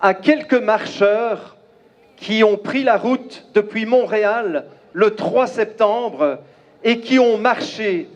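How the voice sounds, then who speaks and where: A man from Belgium with a Belgian French accent, giving an emphatic, declamatory speech at an outdoor rally and pausing often. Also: very high-pitched. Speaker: rally speaker. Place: outdoor crowd gathering.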